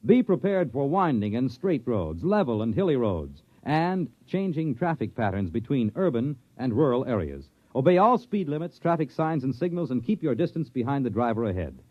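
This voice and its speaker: A male announcer reads a script in old-time radio style. His pitch moves widely, and the recording is dry and dull with little top end. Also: big pitch swings, normal speed, few pauses, no echo.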